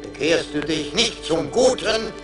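An actor playing a stern, wrathful forest spirit in a 1980s radio play, solemn and declamatory. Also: male voice, a little high, expressive, a little slow, loud music behind the voice. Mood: threatening.

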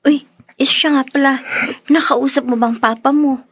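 An actress as a young female friend in a radio drama. Her voice is high and closely recorded in a dry space. She sounds sympathetic, supportive and consoling. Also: fairly even intonation, moderate pace, no room sound, close to an everyday voice. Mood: concerned, indignant. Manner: concerned, consoling.

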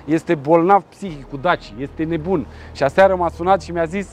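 A man in his thirties presenting a car meet outdoors, lively and joking as he talks. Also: a little high, slight background noise. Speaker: car show host. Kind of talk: casual on-location presenting.

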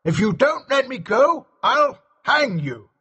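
A man's voice: very high-pitched, big pitch swings, takes it slowly, pushed and bright, slightly rough, muffled recording, voice pushed up, full character voice. A man voicing a frightened older villain in an audio story, pleading and stammering in a shaky voice. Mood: desperate threatening.

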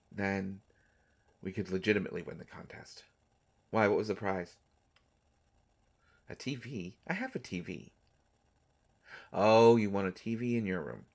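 A man voicing an affectionate, mischievous vampire doctor in an intimate romantic audio roleplay, speaking in a halting, teasing way. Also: fairly low pitch, creaky. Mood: playfully exasperated. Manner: halting, teasing, soft-hearted speech. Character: gentle, mischievous male vampire doctor, centuries old, affectionate.

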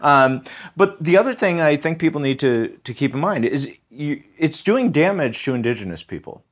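A man's voice: a little high, expressive, normal speed. A man speaking as a guest historian in a conversational podcast interview, stressing his points emphatically.

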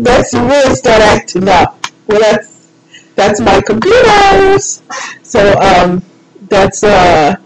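A woman's voice: fairly high pitch, poor audio. A woman hosting an informal live show, chatting in a playful, rambling, self-deprecating way. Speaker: radio show host.

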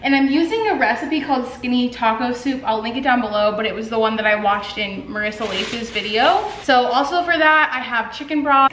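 A woman's voice: on the high side, slight background noise. Chatty young woman vlogging at home. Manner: chatty, many "like" fillers, animated hand gestures. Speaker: artist vlogger. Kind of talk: casual vlog chat. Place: home living room and kitchen, indoors. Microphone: camera on a stand. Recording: echoey room.

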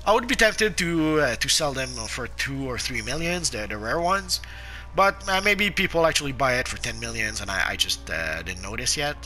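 Casting: man, sharp bright tone, sniffly sore throat, halting game-guide chatter with lots of "uh". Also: medium pitch, animated, soft background music, low-quality recording. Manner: halting, frequent "uh" fillers and restarts. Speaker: gameplay guide narrator. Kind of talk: casual game commentary.

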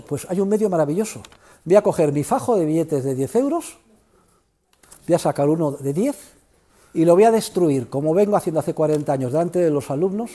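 Older professor, high-pitched, theatrical lecture voice in a big classroom. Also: male voice. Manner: theatrical, emphatic, swings from shouting to low asides. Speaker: economics professor. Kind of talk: university lecture. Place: large university classroom.

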